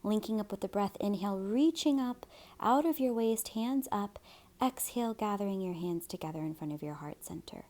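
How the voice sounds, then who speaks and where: Soft, mellow-voiced woman guiding yoga. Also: medium pitch, expressive, moderate pace. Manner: soft, soothing, breathy at phrase ends. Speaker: yoga and meditation teacher. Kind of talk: guided meditation and gentle yoga instruction.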